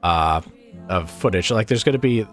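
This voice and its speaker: Deep raspy man musing over a strategy game. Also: lively intonation, moderate pace, a bit bright, soft background music. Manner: musing, hesitant, thinks aloud with fillers. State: raspy, weakened voice from illness. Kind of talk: casual gameplay commentary.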